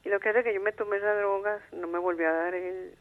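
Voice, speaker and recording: Phone-line sound, man, high voice, Colombian accent, casual listener testimonial. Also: steady tone, fast talker. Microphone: telephone. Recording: tinny, narrow phone line.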